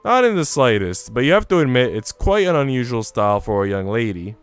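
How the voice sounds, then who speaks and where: A man's voice: mid-range voice, big pitch swings, a little fast, clean tone, dry recording, lightly acted. A man reading aloud a teasing, affectionate, proper lady from a visual novel, playful and genteel, over music. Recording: music playing behind the voice.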